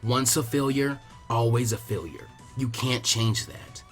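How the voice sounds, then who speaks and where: A man voicing a cool, arrogant young prodigy ninja in a story reading, condescending, measured and fatalistic. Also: medium pitch, faint music behind, close to an everyday voice. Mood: contemptuous. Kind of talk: dramatic audiobook character acting.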